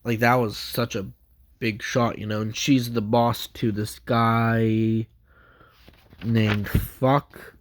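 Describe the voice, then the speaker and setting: A man's voice: mid-range voice, little pitch movement, takes it slowly, a little hiss or hum. A man with a stuffy nose from a cold, giving a casual, rambling comic book review full of fillers and restarts.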